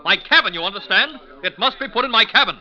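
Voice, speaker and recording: High-voiced, touchy, secretive gentleman. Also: animated, fast. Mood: irritated. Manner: evasive, curt, imperious. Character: touchy, secretive male artist, tense and irritable. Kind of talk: 1940s radio acting. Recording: dull vintage 1940s radio recording, crowd bustle.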